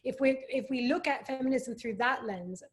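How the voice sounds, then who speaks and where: A woman's voice: high voice, normal speed. A woman podcast guest speaking in a thoughtful, articulate way during a reflective conversation. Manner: thoughtful, articulate, with frequent agreeing interjections.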